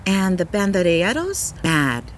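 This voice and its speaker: A woman's voice: on the low side, very animated, measured pace, a little hiss or hum. A woman storyteller reading a picture book aloud to young children, expressively, with simple explanatory asides and exclamations.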